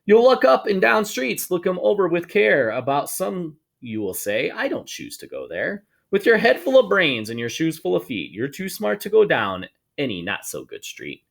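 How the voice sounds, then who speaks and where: A man reading a rhyming children's book aloud to schoolchildren in an expressive, sing-song way, drawing out words for emphasis. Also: on the high side, moderate pace, creaky, few pauses. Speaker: storybook reader for schoolchildren.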